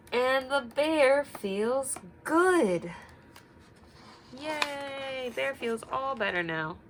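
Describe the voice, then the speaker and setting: A woman's voice: high-pitched, lively intonation, drawn-out words, pauses often, a little hiss or hum. A woman teacher reading aloud to young children, expressively, with simple explanations and questions to her listeners.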